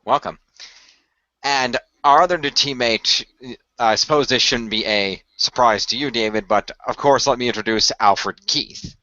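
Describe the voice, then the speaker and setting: Man playing a formal team leader, bright forceful voice. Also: mid-range voice, measured pace, dry recording, highs cut off. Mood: formal, businesslike. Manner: wordy, formal, hesitant. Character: measured, formal male team leader briefing young demon-fighting heroes. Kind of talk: amateur radio play role-play.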